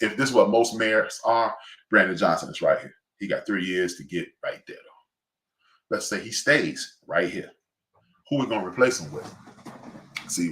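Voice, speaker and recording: Deep-voiced Chicago man rambling on a livestream. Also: reverberant, rough recording. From Chicago West Side. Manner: rambling, preachy, many fillers and restarts, shout-outs to friends. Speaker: livestream host. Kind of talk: casual livestream monologue.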